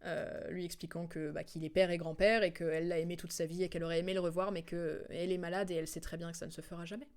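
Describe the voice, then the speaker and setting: Lowish-voiced woman streamer, casual and rambling. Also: little pitch movement, fast, few pauses, darkish tone. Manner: rambling, explanatory. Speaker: game streamer. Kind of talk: casual commentary, recapping a game's story.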